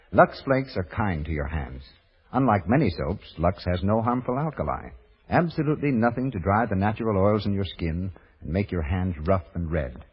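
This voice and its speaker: A man announcing and selling in the polished style of a 1930s radio commercial, with a husky edge. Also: sits low. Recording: muffled reverberant old radio broadcast.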